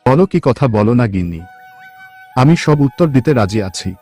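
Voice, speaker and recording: A man playing a simple, devoted young village farmer in a cartoon, earnest and gentle. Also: medium pitch, very animated, fairly quick, husky. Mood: affectionate. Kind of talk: cartoon voice acting. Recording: music behind the voice.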